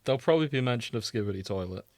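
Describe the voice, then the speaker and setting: Guy podcast host, chatting casually, wry and a bit sweary, very dry recording, mid pitch. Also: lively intonation, racing, a little mellow, no room sound. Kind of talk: casual chat, reading fan fiction aloud.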